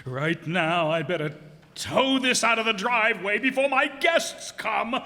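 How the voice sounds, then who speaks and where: High, creaky, exasperated blustering victim. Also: male voice, animated, a little slow, bright, forceful tone, exaggerated voice. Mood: simmering anger. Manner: theatrical, wailing and blustering. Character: exasperated, vengeful male car owner, anguished and blustering. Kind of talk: old-time radio comedy acting. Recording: reverberant hall stage recording.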